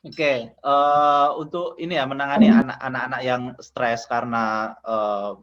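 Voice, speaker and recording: A man's voice: medium pitch, steady tone, unhurried, a bit bright. Man answering over dull call audio. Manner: searching for words, fillers. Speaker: speaker answering questions. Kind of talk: webinar answer.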